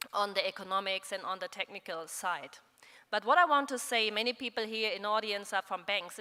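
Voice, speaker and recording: Bright-voiced woman on a conference panel. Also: on the high side. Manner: earnest, explanatory, with hand gestures. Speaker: crowdfunding platform panelist. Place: large conference hall on stage. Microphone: stand mic on a panel table. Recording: reverberant conference hall with faint background noise.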